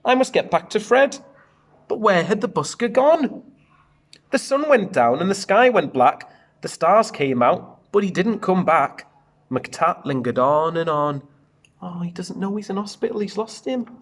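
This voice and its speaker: A man reads a picture book for young children. His pitch swings widely as he moves through the rhymes in a sing-song teacher's way, breaking off for chatty asides. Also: measured pace. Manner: animated, sing-song rhyming read-aloud. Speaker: primary school teacher reading a storybook.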